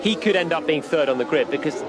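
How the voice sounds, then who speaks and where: A man's voice: a little high, lively intonation, fast, background noise. A man co-commentating live on a car race in an analytical, explanatory way.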